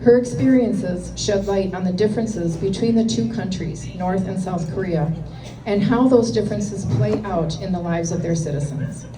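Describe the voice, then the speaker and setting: A woman hosting a meeting, reading a measured, prepared introduction of the evening's speaker. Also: mid-range voice. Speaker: event host introducing the speaker. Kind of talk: formal introduction read to an audience. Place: meeting room with an audience. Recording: echoey room from a distance with clear noise.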